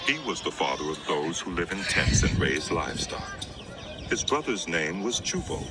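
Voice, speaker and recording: A man giving a solemn, measured narration of a dramatized Bible reading. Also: deep voice, very noisy. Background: prominent music.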